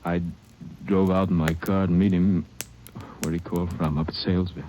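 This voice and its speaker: An American actor playing a wounded, grieving deputy sheriff with a rural accent, speaking weakly and haltingly in a 1950s radio drama. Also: male voice, deep voice, level tone, lightly acted. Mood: dazed, sorrowful. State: weak, dazed, halting. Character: badly wounded, grieving middle-aged deputy sheriff father. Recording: muffled, noisy old radio recording.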